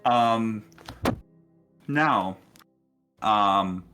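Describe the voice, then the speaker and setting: A man acting as game master, narrating casually and haltingly with frequent pauses. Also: fairly low pitch, sharp tone, soft background music. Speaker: game master of a tabletop role-playing game.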